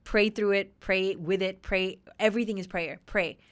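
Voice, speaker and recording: Earnest woman in her thirties, mid pitch. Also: normal speed. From Australia. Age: 30s. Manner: earnest, emphatic, animated retellings with laughs. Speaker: podcast guest, actress. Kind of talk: candid personal storytelling.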